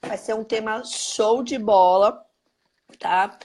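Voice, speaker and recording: A hoarse woman with a Brazilian Portuguese accent, hosting a live stream in an upbeat way and greeting viewers by name. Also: fairly high pitch, steady tone, takes it slowly, darkish tone. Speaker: host.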